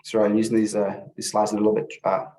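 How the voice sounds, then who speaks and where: A middle-aged man, born in El Salvador and raised in North America, giving an informal webinar presentation in a halting way, often repeating words as he goes. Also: lowish voice, monotone, mellow, poor audio. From born in El Salvador, grew up in North America. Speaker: webinar presenter, youth services manager.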